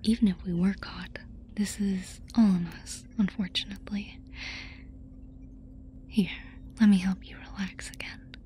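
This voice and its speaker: Woman playing a mellow, whispering, playful alien girl. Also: medium pitch, steady tone, a little slow, long pauses, natural voice. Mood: soothing, affectionate. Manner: soft whispering, casual and coaxing. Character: playful, soothing, affectionate female alien abductor. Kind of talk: whispered ASMR roleplay. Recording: noisy ambience behind close whisper.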